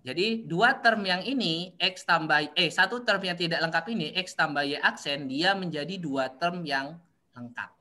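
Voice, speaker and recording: A man's voice: a little high, sharp tone. A man teaching an online university class, explaining step by step with many fillers and frequent checks that students follow. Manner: halting, frequent fillers and restarts, often checks understanding. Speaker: university lecturer. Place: online meeting. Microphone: video call.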